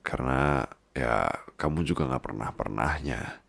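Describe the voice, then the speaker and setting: Man acting a very deep-voiced, doting husband. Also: measured pace, vocal fry, fairly bright tone, no room sound, exaggerated voice. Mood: affectionate teasing. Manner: tender, coaxing, playful teasing. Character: doting, worried boss husband, big-baby clingy and teasing. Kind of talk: intimate ASMR boyfriend-style roleplay in casual talk.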